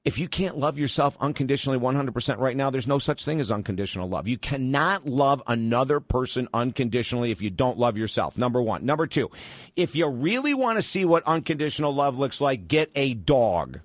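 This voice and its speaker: An upbeat man hosting a live radio talk show, speaking into a studio mic. His voice is mid-pitched, and his delivery is enthusiastic and emphatic. The sound is close and dry. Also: lively intonation, a little fast, no echo. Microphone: studio microphone.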